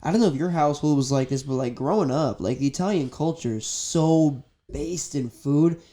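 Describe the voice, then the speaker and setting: A man's voice: on the high side, moderate pace, warm tone. A man hosting a casual interview, talking informally and in a rambling, conversational way. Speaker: podcast host, interviewer. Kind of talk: casual chat.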